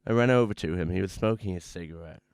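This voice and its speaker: A man playing a troubled, hard-drinking man who tells his own story in halting, haunted phrases for a radio drama. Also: sits low, darkish tone, clear, resonant tone, no echo, studio quality. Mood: urgent recollection. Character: troubled, drunken man confessing his ruin. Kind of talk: dramatic first-person radio drama monologue. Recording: music playing behind the voice.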